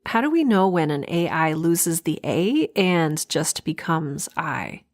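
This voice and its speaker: A woman narrator delivering a scripted podcast introduction in a measured, deliberate manner. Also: deep voice, sing-song.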